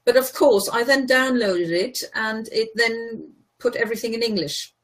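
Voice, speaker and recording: A woman's voice: on the high side, moderate pace, poor audio. A woman whose first language is German, from Germany, tutoring in a casual chat, patient and explanatory, laughing easily.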